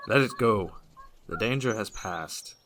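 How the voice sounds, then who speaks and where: A man's voice: lowish voice, animated, a little slow, noisy background. A man playing an earnest, sensible archer hero who is a little bumbling with words, in a fantasy audio drama. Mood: consoling.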